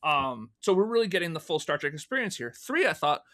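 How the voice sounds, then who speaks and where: Bright-voiced man telling stories casually. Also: fairly high pitch, sing-song, a little fast. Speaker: podcast guest, audio drama producer. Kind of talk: casual interview storytelling.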